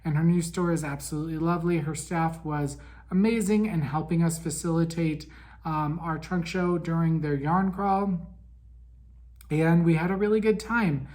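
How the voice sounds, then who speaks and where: A man hosting a casual monthly podcast recap, raspy and weak in breath from a head cold, chatty with frequent ums. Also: a little high, steady tone, normal speed, darkish tone. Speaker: podcast host.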